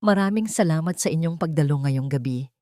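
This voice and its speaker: Two-faced charity hostess, played by a woman narrator: gracious, syrupy manner over a low, dry voice, audio drama. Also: a little fast, dark tone, dry recording, lightly acted. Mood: sweetly grateful. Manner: sweet, grateful, performatively tender.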